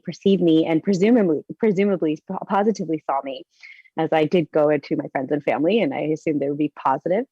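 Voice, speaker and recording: A woman's voice: sits low, mellow, no echo, muffled recording. A Canadian woman in her thirties giving an earnest, reflective personal presentation over a video call, with some fillers.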